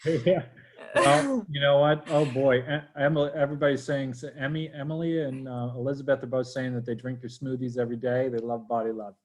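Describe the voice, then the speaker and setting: A man from Boston hosts a live online interview, his voice sitting at an ordinary middle pitch. He talks in a chatty, upbeat way and speaks straight to the viewers. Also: steady tone, fairly quick. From Boston, USA. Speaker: host. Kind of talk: livestream interview.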